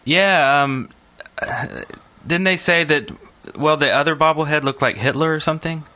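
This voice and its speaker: A man on a podcast panel, chatting casually in a halting, agreeable way. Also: a little high, sharp tone. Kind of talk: casual chat.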